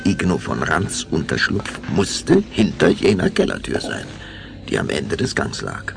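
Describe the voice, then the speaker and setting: A man's voice: very deep voice, wildly swooping pitch, measured pace, creaky, music in the background. A man narrating a children's radio play as a theatrical, suspenseful storyteller. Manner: suspenseful, theatrical, shifting to whispers.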